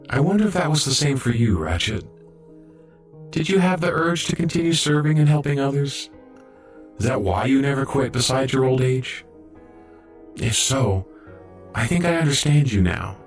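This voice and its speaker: A man's voice: medium pitch, natural voice. A man playing an earnest, dedicated young robot medic in an audio drama, reflective and polite. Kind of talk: audio drama narration and dialogue.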